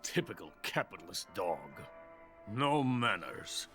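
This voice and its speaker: An actor playing a drunk, boastful older Soviet weapons scientist in a video game cutscene. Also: male voice, deep voice, big pitch swings, unhurried, a bit bright, soft background music, exaggerated voice. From Soviet Union. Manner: pompous, self-important, bitter.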